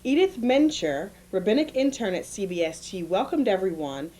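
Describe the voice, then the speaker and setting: A woman co-hosting a community cable show, chatty and quick to laugh. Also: mid-range voice, big pitch swings, dark tone. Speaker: co-host. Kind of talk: casual studio chat. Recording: old analog tape.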